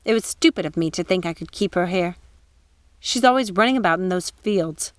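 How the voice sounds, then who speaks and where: A woman's voice: fairly low pitch, fairly quick, no echo, lightly acted. A woman voicing a cunning witch who fakes sweetness and concern. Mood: scheming.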